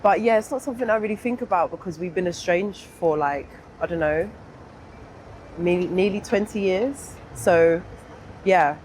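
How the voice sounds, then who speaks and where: A woman's voice: fairly low pitch, a little mellow, rough recording. Reflective young southern English woman, background noise. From England. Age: young adult. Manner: reflective, informal, pausing to think. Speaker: interview guest. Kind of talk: candid personal interview.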